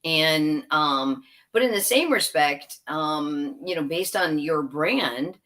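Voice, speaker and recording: A woman's voice: deep voice, expressive, slow, fairly bright tone. A woman guest in an interview, chatty and rambling, laughing and trailing into asides. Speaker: guest, software company executive. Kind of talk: conversational interview.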